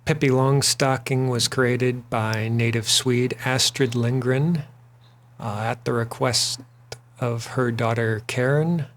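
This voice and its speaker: Slow-talking male artist host. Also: mid-range voice. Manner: relaxed and rambling, frequent "uh" fillers. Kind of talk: drawing while talking, casual chat.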